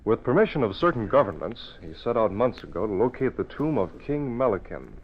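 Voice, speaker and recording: An actor playing a brisk, commanding newspaper publisher and crime fighter, crisp and authoritative, in old-time radio style. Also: male voice, on the low side, big pitch swings. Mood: composed explaining. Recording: muffled, noisy old radio recording.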